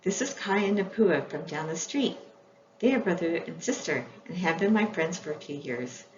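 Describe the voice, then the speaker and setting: A woman voicing an excited, affectionate young girl in a gushing children's storybook reading. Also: lowish voice, normal speed, close to an everyday voice. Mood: excited, welcoming. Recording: echoey room, dull sound, faint noise.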